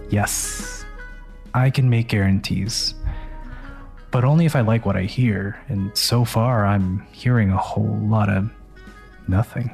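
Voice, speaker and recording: A man playing a haughty, menacing emissary of a crime family in audio fiction, condescending, sardonic and deliberate. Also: on the low side, vocal fry, darkish tone. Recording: music under the voice. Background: music.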